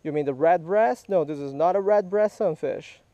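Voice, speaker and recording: A man's voice: fairly high pitch, moderate pace, dry recording, lo-fi sound. Man coaching a kid while fishing. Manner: patient, coaching, quizzing. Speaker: fishing vlogger. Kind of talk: casual teaching chat while fishing. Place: outdoors by a small creek in a park. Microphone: head-mounted action camera.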